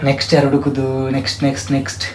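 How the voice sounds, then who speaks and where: A young man hosts a casual prank vlog in a mid-pitched voice. He talks informally and repeats phrases. There is noticeable noise around him. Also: completely flat delivery, rapid-fire. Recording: clear background noise.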